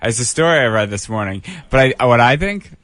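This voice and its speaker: A man's voice: mid-range voice, animated, brisk, fairly bright tone, no echo. A man chatting casually as a co-host in radio banter.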